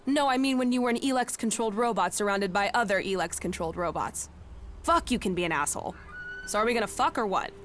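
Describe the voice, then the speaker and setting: A woman's voice: medium pitch, animated, fast talker, fairly bright tone, slight background noise, natural voice. An actress playing a brash, foul-mouthed, flirtatious companion in a video game, blunt and sarcastic in her delivery. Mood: teasing. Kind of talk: video game cutscene acting.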